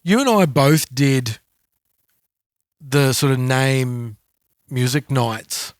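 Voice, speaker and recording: Australian man, mid-pitched, very dry sound. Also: very slow, no room sound. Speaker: podcast co-host. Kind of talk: casual music podcast chat.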